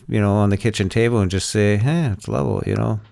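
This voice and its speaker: Low-pitched man chatting on a podcast. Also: quick pace, creaky, a little mellow. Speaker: podcast host. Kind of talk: casual tech podcast chat.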